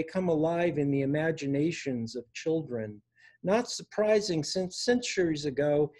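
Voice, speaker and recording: A man in his sixties reading poetry aloud in a measured way, pausing between phrases, recorded at home on a video call. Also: on the high side, mellow. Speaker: poet reading own work. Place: indoor room at home. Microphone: device mic on a video call.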